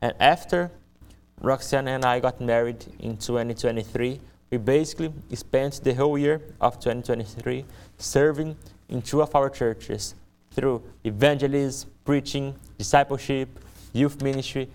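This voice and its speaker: A man's voice: mid-range voice, moderate pace, smooth. A young man from Brazil with a thick Brazilian Portuguese accent, a native Portuguese speaker, giving an earnest, friendly missionary presentation in careful learner's English during a church service. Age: young adult.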